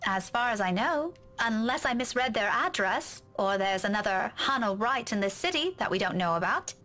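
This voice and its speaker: A woman's voice: medium pitch, animated, moderate pace, bright, forceful tone. A woman playing a hesitant but dryly teasing young woman in a visual novel game. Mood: dry, conversational.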